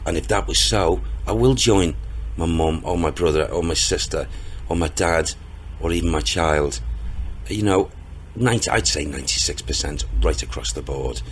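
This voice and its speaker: A man with a booming, deep voice, talking earnestly as an interview guest about his work as a spiritual medium, rambling a little with restarts and hesitations. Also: normal speed, a little hiss or hum. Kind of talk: casual interview talk.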